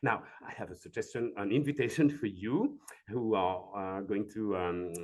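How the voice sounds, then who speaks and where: Gentle coach with frequent check-ins. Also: male voice, fairly low pitch, moderate pace. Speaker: executive coach. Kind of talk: live coaching demonstration.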